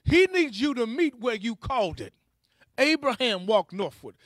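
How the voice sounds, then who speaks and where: A man's voice: very high-pitched, sing-song, normal speed, fairly bright tone, no echo. A man preaching a charismatic sermon in a fervent, emphatic way, exhorting his listeners and repeating key phrases.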